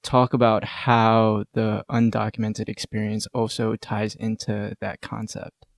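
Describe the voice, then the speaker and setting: Low, even-toned man with creaky voice. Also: unhurried, no room sound, studio quality. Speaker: podcast co-host. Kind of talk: casual conversational interviewing.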